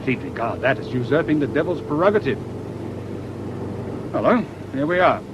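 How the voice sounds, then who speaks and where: An actor playing a shrewd British police inspector in a radio drama, with a dry, colloquial, knowing manner. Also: male voice, very animated, normal speed, muffled recording, lightly acted. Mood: assured, wry. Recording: noisy outdoor ambience, vehicle rumble.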